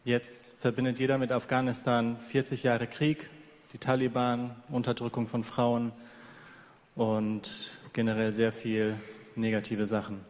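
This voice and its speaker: A man's voice: mid-range voice, level tone, a little slow. A man working as a live interpreter, relaying a sermon passage by passage in a church. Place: large echoing church hall. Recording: long hall reverb.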